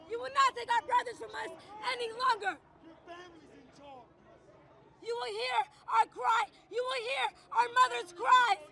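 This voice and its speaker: A woman protester on an outdoor city street pleads with officers in a very high, bright, piercing voice. A crowd murmurs faintly around her as she pushes her words hard. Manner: pleading, rising to shouts. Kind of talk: impassioned street speech.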